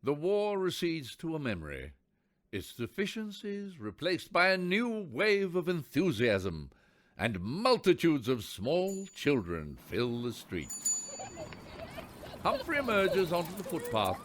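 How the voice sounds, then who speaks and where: A man's voice: fairly high pitch, wildly swooping pitch, slow, full character voice. A man playing the narrator of a radio play, telling the story in scripted, descriptive prose. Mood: descriptive storytelling.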